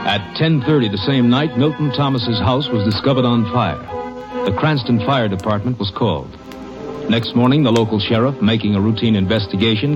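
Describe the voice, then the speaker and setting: A man narrating a 1950s radio crime drama in a measured, matter-of-fact way. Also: medium pitch. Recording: dull old broadcast over music.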